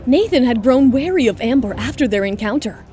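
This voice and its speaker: A woman's voice: fairly high pitch, lively intonation, fairly quick, dark tone. A woman narrator reading a scripted romantic drama in audiobook style.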